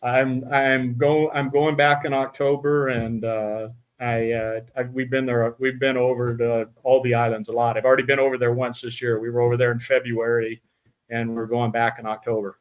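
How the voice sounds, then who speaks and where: A middle-aged man hosting a casual interview podcast, talking in a halting way with many fillers and restarts. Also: medium pitch, flat delivery, clean tone, narrow, tinny audio. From Utah. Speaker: podcast host.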